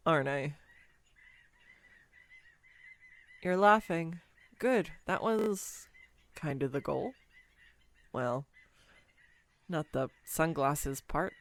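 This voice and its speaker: A woman playing a clumsy, nervous, giddy young woman on a first date in a romantic audio roleplay, rambling awkwardly and self-deprecatingly with halting stumbles. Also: low voice, animated. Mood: sheepish, joking. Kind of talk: scripted romantic audio roleplay addressed to the listener.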